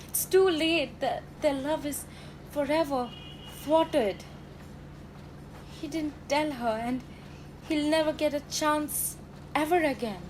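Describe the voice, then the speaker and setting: Teen girl playing a high, slow-talking sentimental factory gossip. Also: slight background noise, low-quality recording. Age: teenage high school student. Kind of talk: radio drama acting.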